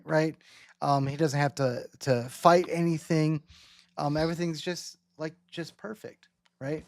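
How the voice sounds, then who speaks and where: A man's voice: a little high, no room sound. Man talking ideas through, dry close sound. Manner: halting, rambling phrasing with rhetorical check-ins. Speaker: host. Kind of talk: casual theological discussion.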